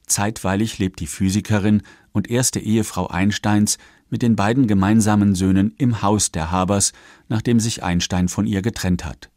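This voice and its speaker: A man with a fairly low voice narrates a scripted radio documentary. He is factual and measured, recorded close and dry in a studio. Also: darkish tone, no room sound.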